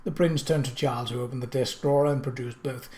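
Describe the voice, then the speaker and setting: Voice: medium pitch, fairly even intonation, quick pace, mellow. A narrator reading a period mystery novel aloud as a scripted audiobook. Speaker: audiobook reader.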